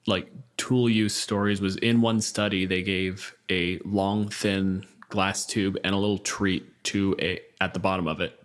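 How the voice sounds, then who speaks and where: A man's voice: low voice, level tone, a little slow, few pauses. A man hosting a casual tabletop gaming podcast, talking in an explanatory, storytelling way. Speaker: podcast host.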